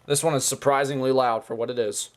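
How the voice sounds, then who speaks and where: A man giving an informal, excitable show-and-tell commentary, often interjecting as he goes. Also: medium pitch, quick pace. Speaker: video host. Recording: clearly noisy home recording.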